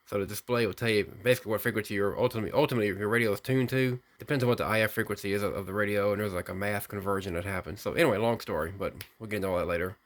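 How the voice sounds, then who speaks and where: A man giving an unscripted hands-on product demonstration, rambling technically and thinking out loud as he hosts a hobby video. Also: fairly low pitch, fairly even intonation, racing, hardly any pauses. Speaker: radio hobbyist video host.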